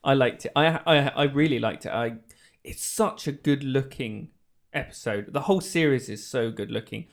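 A man's voice: mid-range voice, moderate pace, gravelly. A man hosting a casual review discussion, talking in a playful, emphatic, bantering way. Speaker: podcast host.

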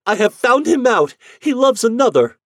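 Man doing a jealous young wife, high and breathless, swooping pitch, dry sound. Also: very animated, normal speed, darkish tone, slightly rough, no echo. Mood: agitated. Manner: high, breathless, emotional delivery.

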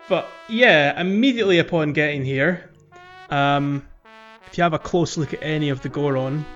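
A man's voice: fairly high pitch, normal speed, a bit bright, lo-fi sound, faint music behind. A man co-hosting a casual video game podcast, chatting and explaining in a hesitant, circling way. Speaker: podcast host.

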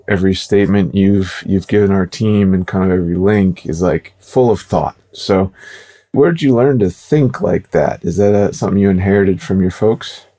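A man co-hosting a podcast, interviewing a guest in a casual, rambling way with quick asides. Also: deep voice, dark tone.

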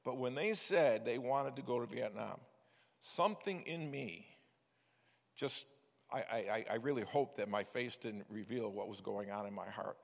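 A man's voice: mid-range voice, normal speed, gravelly. An older man preaching a sermon, telling stories in a folksy way with frequent restarts. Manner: folksy storytelling with many restarts. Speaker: preacher, former missions director. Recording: echoey hall with long reverb.

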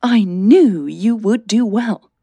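A woman's voice: medium pitch, slow, mellow, breathy edge, no echo, pitched above the performer's own voice. A woman voicing an enthusiastic, affectionate middle-aged mother who encourages and teases, in an audio drama. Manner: eager, affectionate.

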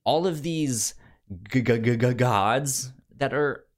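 Man, slow pace, stammering, halting, medium pitch, casual podcast co-host chat. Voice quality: stammer with repeated sounds and syllables. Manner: halting, conversational.

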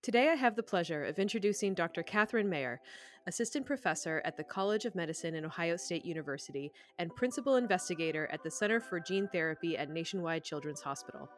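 A woman podcast host speaks in a slightly low voice with some music in the recording. Her delivery is that of an interviewer, part prepared script and part conversation. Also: animated, fast talker.

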